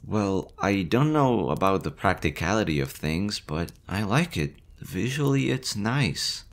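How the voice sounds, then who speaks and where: A man voicing a nervous, gentle young male slime monster in an audio roleplay, talking softly and hesitantly. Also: deep voice, very animated, measured pace, full character voice. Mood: chatty. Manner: soft, hesitant, chatty.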